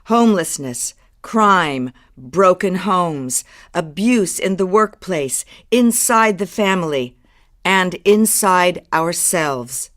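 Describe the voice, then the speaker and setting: Formal earnest speechmaker for a game, medium pitched, like at a podium. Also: female voice, fairly bright tone. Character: formal, earnest female civic speaker at a podium. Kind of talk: scripted public speech in a video game.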